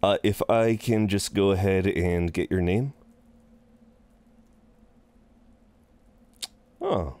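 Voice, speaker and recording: A man's voice: sits low, unhurried, darkish tone. A man playing a flirtatious, chatty incubus innkeeper in a roleplay audio drama, welcoming and rambling with hesitant asides. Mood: apologetic, eager. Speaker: innkeeper at a front desk.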